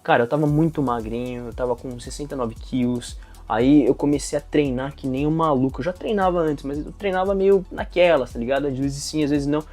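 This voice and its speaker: A man's voice: on the high side, a little fast, few pauses, soft background music. A young man from Brazil talking casually to camera about his acting campaign, full of slang and swearing. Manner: slangy, profane, animated venting. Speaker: aspiring actor vlogger.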